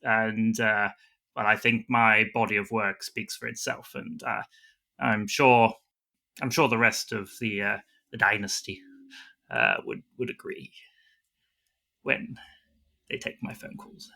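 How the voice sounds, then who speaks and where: A man's voice: lowish voice, animated, a little slow, vocal fry, pauses often, sharp tone, heavily acted. A man playing an insecure, self-important actor from a famous dynasty, stammering defensively in a mockumentary interview. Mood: wounded self-importance.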